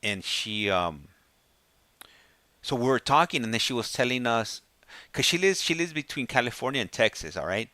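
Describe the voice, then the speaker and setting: A middle-aged man hosting a show, chatting casually and telling stories in a halting, repetitive way. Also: medium pitch, lively intonation, sharp tone, no room sound. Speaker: host of a prison call show. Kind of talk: casual chat.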